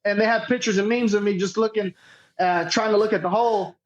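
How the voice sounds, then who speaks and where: High-voiced man, casual and sweary. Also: fairly even intonation, a little fast, lo-fi sound. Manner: sweary, slangy, unpolished. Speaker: podcast guest, cornhole player. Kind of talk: casual chat, storytelling.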